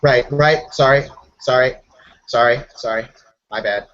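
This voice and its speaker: A man with a medium-pitched voice plays a tabletop roleplaying game in a casual way. He switches between speaking as his character and describing actions. The sound is a little dull and lo-fi. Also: measured pace, a bit bright. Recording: dull lo-fi audio.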